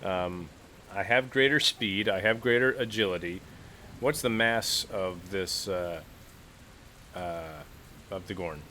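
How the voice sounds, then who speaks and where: A man's voice: low-pitched, takes it slowly, pauses often, sharp tone, a little hiss or hum. A man talking casually as a player in a tabletop role-playing game.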